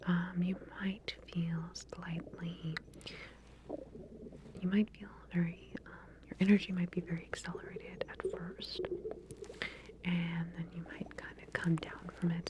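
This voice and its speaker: A woman whispering gently and breathily in an ASMR medical roleplay, playing a researcher who gives hesitant, procedural instructions. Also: fairly low pitch, noisy background.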